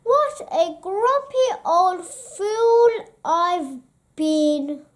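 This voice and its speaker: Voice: very high-pitched, sing-song, very slow, exaggerated voice. A storybook reader voicing a grumpy old male badger full of remorse, in a dramatic, exclamatory way. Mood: remorseful.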